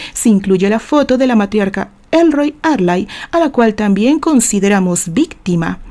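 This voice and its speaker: Mexican lady playing a pushy woman lawyer, formal, highish mellow voice, courtroom radio novel. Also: lightly acted. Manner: formal, insistent. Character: assertive female lawyer pressing accusations in court.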